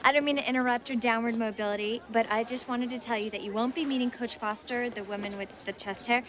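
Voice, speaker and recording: A woman's voice: on the high side, fairly even intonation, fast, fairly bright tone, close to an everyday voice. A woman playing a sarcastic, self-assured popular teenage girl in a television drama. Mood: snide, dismissive. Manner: sarcastic, dismissive. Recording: TV soundtrack with music underneath.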